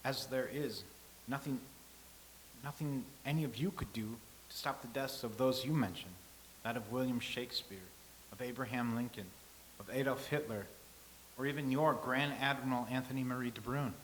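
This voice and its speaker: A man's voice: mid-range voice, normal speed, fairly bright tone, close to an everyday voice, low-quality recording. An actor playing a solemn male scribe from ancient times in a staged play reading, speaking earnestly and philosophically, with faint hiss.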